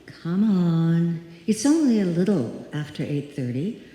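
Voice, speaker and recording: A woman acting a brisk, stubborn character who refuses to be frightened, in an old time radio suspense play. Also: lowish voice, sing-song, mellow. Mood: firm, insistent. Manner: firm, dismissive, bossy. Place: live stage performance in a hall. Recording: very echoey live hall.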